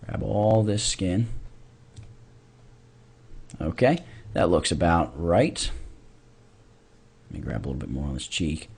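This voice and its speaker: A man narrating a software tutorial in an informal way, thinking aloud as he demonstrates. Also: sits low, expressive, slow, vocal fry, long pauses, husky. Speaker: tutorial instructor.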